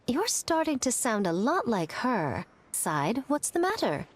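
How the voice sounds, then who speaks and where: Game character: a playful young female schemer, high and animated, arch, wordy, sure of herself. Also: a little slow, exaggerated voice. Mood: teasing. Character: sly, teasing, self-assured young woman, playful schemer. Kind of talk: video game voice acting.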